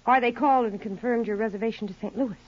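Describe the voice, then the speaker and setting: Female actor as sly wife, high voice, sugary sarcasm, vintage 1940s radio with muffled sound. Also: quick pace, lightly acted. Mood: sweetly sarcastic. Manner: mock-sweet, sarcastic. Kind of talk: 1940s radio drama acting. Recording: dull old radio recording.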